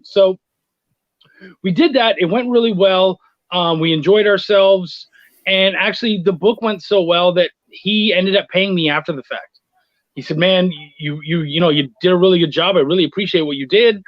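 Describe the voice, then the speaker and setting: A man's voice: high voice, no echo. A middle-aged man chatting casually as an interview guest, telling anecdotes and acting out bits of dialogue, on lo-fi livestream audio. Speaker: guest, freelance editor and writer.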